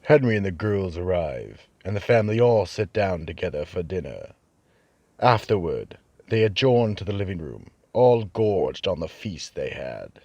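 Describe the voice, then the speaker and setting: Deep, husky horror narrator. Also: male voice, big pitch swings, a little mellow, dry recording, highs cut off. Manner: ominous, measured. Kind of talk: scripted horror-show narration.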